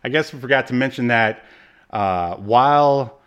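A man's voice: mid-range voice, unhurried, a bit bright, smooth, dull audio. A man hosting a podcast, chatting casually through a recap and dropping in playful, joking asides. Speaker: podcast host. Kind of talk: casual chat recapping a TV episode.

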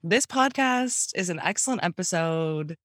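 A woman's voice: on the low side, animated, unhurried, a bit bright, no room sound, clean studio sound. Young woman co-host with a cold. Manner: playful, laughing often, announcer-like. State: sick with a cold. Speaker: podcast co-host. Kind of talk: casual chat.

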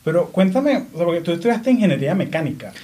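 Upbeat man interviewer, slightly high voice. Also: sing-song, fast talker, dark tone. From born in Venezuela, raised in Florida. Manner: encouraging, frequent brief affirmations. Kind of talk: casual interview chat.

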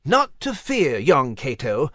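A man's voice: extremely high pitch, very animated, slow pace, no echo, studio quality, pitched above the performer's own voice, exaggerated voice. A man voicing a jolly, genteel mouse with a pompous, hearty, old-fashioned manner in a storybook. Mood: reassuring.

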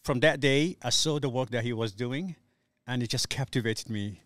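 A man's voice: mid-range voice, normal speed, fairly bright tone, dry recording. Interview guest: middle-aged man, English, resonant voice, close dry sound, hesitant personal storytelling. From Somalia. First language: not English. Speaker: interview guest, youth community worker.

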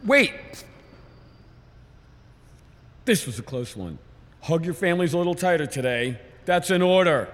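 An actor playing an earnest, commanding leader of a scientific expedition in a sci-fi audio drama. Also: male voice, fairly high pitch, big pitch swings, a little fast, fairly bright tone. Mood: firm, caring. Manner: earnest, authoritative.

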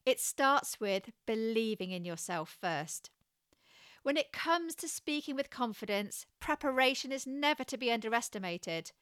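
A woman with a West Country English accent, giving scripted coaching advice in a measured, encouraging way. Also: a little high, lively intonation, a bit bright, clear, resonant tone, no echo, studio quality. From England. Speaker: communication coach.

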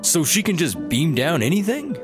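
Casting: wisecracking comedy sci-fi partner; very expressive, exclamatory, lightly high-pitched. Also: male voice, moderate pace. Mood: impressed. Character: excitable, wisecracking male sidekick in squad duo. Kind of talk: sci-fi comedy audio drama acting. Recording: music behind the voice.

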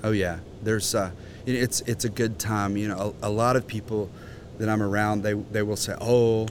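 A man's voice: lowish voice, fairly even intonation, normal speed, a little hiss or hum. A man in his forties, a guest in a casual interview, talking earnestly with many restarts. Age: 40s. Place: outdoor conference grounds.

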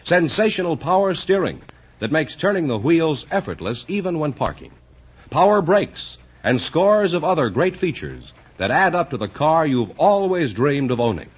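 A man's voice: fairly high pitch, sing-song, moderate pace, breathy edge. An American man announcing in the polished selling style of 1950s radio. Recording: dull vintage radio recording.

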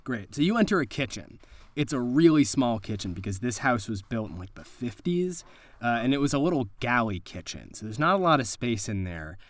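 A man's voice: medium pitch, big pitch swings, a little fast, no room sound. Male game master, mid-range voice, improvising spooky scenes in casual, slangy narration. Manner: conversational, slangy storytelling.

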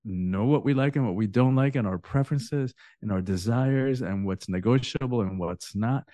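A man's voice: medium pitch, normal speed, dark tone, no room sound. A man born in Cuba, an author talking as a podcast guest, earnest, often repeating words as he begins a thought. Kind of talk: casual interview talk.

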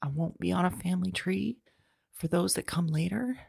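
A husky, creaky-voiced woman played by an actress in an audio drama, frightened and anguished as she waits to be taken away. She speaks slowly and haltingly, repeating herself and slipping into whispers, very close and dry. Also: fairly low pitch, a little mellow, no room sound, exaggerated voice. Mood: hushed despair. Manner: halting, repetitive, often breaking into whispers. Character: frightened childless woman awaiting arrest, anguished, wavering.

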